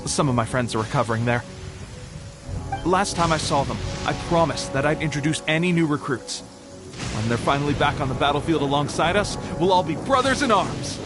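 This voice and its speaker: An actor playing an earnest, eager young resistance soldier in a video game, proud and respectful. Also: male voice, a little high, lively intonation, a little fast, music in the background, lightly acted. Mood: eager, friendly.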